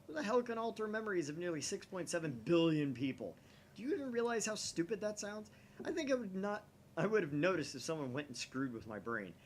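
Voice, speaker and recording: A man's voice: sits high, sing-song, fairly quick, pitched above the performer's own voice. A man voicing a scornful, argumentative internet poster from a visual novel, indignant and mocking. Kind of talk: visual novel read aloud. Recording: faint background noise.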